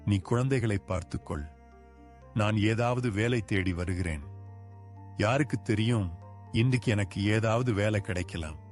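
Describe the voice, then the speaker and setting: A careworn village laborer and father, voiced by a man speaks with an earnest, pleading manner in a dubbed cartoon. His voice sits a little low. Soft music plays beneath him. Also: a little slow, long pauses, natural voice. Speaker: voice actor. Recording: music bed behind.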